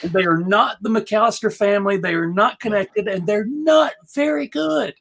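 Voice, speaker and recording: A woman's voice: medium pitch, moderate pace, creaky. A woman with a mid-range voice moderates a panel. She talks in a casual, conversational way, as one chatting among fellow panelists.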